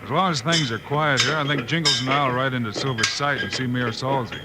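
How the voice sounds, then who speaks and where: An actor playing a calm, friendly western lawman in a radio drama, with an American accent. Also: medium pitch, a little fast, very noisy, close to an everyday voice. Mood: steady, friendly. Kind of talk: 1950s western radio acting. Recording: old radio recording, music behind.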